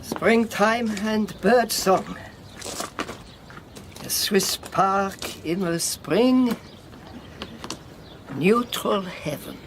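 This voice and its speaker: An actor in a radio drama playing a sardonic, battle-worn European refugee with a lame leg, speaking haltingly with mocking formality. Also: male voice, high-pitched, background noise, full character voice. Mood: wry, teasing.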